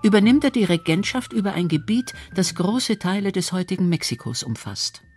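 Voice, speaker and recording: Man, scripted documentary narration, fairly high voice, light background music. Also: animated, a little fast, darkish tone.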